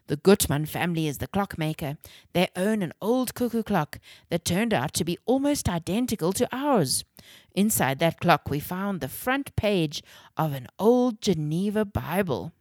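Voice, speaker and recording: Storybook father, read by a woman: calm, friendly, plainly explanatory, dry studio-like sound. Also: fairly low pitch, normal speed, no room sound. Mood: explaining.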